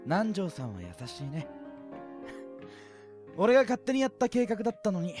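A woman playing an easygoing, teasing high school boy in an audio drama, with a light, self-deprecating, joking way of talking. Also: sing-song, measured pace, over music. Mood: gentle, candid. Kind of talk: anime-like Japanese audio drama acting.

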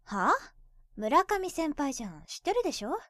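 Blunt high-voiced schoolgirl. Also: big pitch swings, moderate pace, fairly bright tone, no echo, clean studio sound. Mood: exasperated, teasing. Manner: brusque, scolding. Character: blunt, prickly high school girl with a boyfriend. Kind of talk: youth romantic comedy voice drama acting.

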